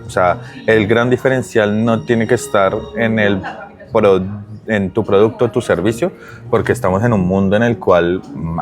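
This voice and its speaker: A man in his thirties from Colombia with a strong Colombian accent, chatting casually as an interview guest, with many pauses and restarts. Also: on the low side. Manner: hesitant, many fillers and restarts. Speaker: guest, digital transformation consultant.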